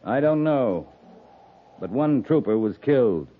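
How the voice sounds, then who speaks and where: An actor playing a stern, shrewd, commanding US Army cavalry captain in 1950s radio western style. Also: male voice, mid-range voice, animated, a little slow. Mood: stern, challenging. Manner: commanding, clipped, sternly challenging. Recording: muffled lo-fi 1950s radio recording.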